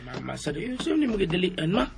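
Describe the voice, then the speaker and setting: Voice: medium pitch, big pitch swings, fairly quick, creaky. A performer playing a complaining youngster talking to a parent in a radio drama. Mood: whiny. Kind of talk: Bisaya radio drama acting. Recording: distant from mic, crowd noise behind.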